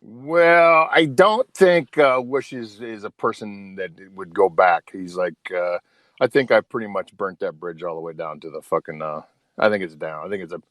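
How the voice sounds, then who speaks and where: A man's voice: lowish voice, normal speed, fairly bright tone, no room sound. A man in his fifties chatting candidly on a call-in show, rambling, repeating himself and swearing freely. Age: 50s. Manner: rambling, repetitive, profane. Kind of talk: casual, candid chat.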